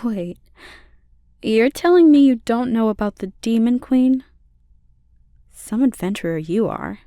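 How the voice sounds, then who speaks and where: A woman voicing a gentle, caring slime monster girl with playful teasing, in a soft, intimate ASMR roleplay delivery. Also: on the high side, lively intonation, unhurried, no echo, clean studio sound. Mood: incredulous teasing.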